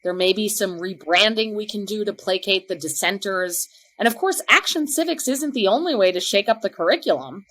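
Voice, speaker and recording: An actress playing a measured, diplomatic woman district education official in a podcast drama. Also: mid-range voice, expressive, a bit bright.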